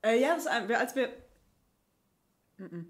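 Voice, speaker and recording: A high-voiced young woman improvising on stage plays an evasive, money-minded lumber worker. She speaks haltingly and breaks off her own sentences, as in a live radio play. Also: animated. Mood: casual. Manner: halting, self-interrupting. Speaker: improv actress. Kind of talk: improvised stage audio drama. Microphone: stand microphone at a table.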